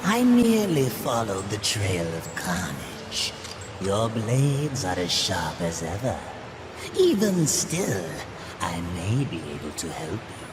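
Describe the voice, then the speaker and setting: An actor playing a sly, sinister demon merchant in a video game, drawing words out in an oily, theatrical way. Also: male voice, low voice, background noise, heavily acted. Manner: slow, oily, drawn-out, theatrical. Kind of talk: video game cutscene acting.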